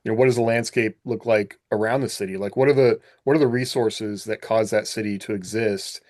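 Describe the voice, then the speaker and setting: A man's voice: on the low side, steady tone, brisk, darkish tone, no echo, studio quality. A man hosting a podcast in casual chat, musing aloud and posing questions. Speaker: podcast host.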